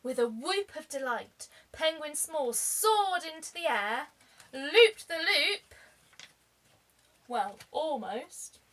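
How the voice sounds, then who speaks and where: A woman reading a children's story aloud like a teacher, expressively, with deliberate dramatic emphasis. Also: high-pitched, sing-song, a bit bright.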